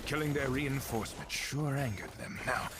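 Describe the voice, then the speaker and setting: A male actor playing a grim, calm necromancer adventurer in a dark fantasy video game, with brooding, deliberate delivery over game effects. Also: mid-range voice, noisy background, lightly acted. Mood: brooding, wry.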